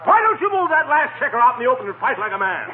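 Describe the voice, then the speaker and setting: An actor playing a pompous, peevish, combative neighbor in a blustering, sarcastic 1940s radio comedy style. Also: male voice, very high-pitched, big pitch swings, quick pace. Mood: exasperated, defiant. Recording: scratchy, noisy, narrow-band 1940s radio.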